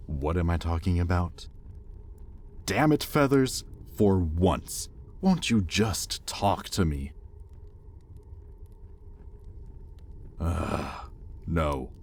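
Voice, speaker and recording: Male actor as sardonic demon master for intimate roleplay audio: extremely deep, unhurried, exasperated, grudgingly caring. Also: animated, a little mellow, exaggerated voice. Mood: exasperated, demanding. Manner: sardonic, exasperated, with pointed pauses.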